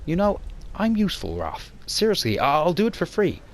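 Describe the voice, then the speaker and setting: A man voicing a cocky, jittery young male hacker on the run, talking fast and stumbling over his words. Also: high voice, wildly swooping pitch, pitched above the performer's own voice, heavily acted. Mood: eager. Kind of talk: amateur motion comic voice acting.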